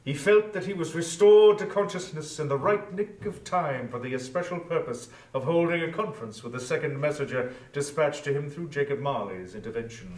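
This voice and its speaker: A man delivers measured, formal storybook narration. His voice sits slightly high, and it sounds a little distant from the microphone with an echoing room around it. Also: a little mellow. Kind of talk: scripted dramatic storybook narration. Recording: roomy echo, distant microphone.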